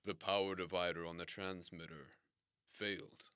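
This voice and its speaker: An actor playing a formal, theatrical radio station boss who explains things slowly and swears grand oaths. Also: male voice, low-pitched, fairly even intonation, sharp tone, smooth, no echo. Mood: explaining. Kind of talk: comedic audio drama acting.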